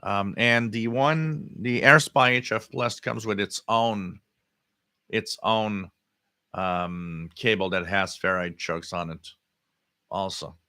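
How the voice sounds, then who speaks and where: A man hosting a casual live hobby stream, answering chat comments in a halting way and often repeating his words. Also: on the low side, pushed and bright, no room sound. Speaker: livestream host.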